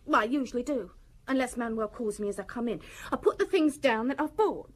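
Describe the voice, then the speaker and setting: A woman's voice: fairly high pitch, animated, fairly quick. An actress playing a defiant, grieving young working-class woman in a radio drama, blunt and defensive. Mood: shaken, defensive.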